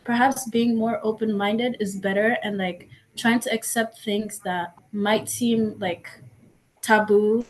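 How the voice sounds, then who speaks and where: A woman's voice: medium pitch, steady tone, slow, slight background noise, poor audio. Chatty young woman from Mozambique. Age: young adult. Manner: chatty, many likes and restarts. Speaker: guest. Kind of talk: casual interview answers.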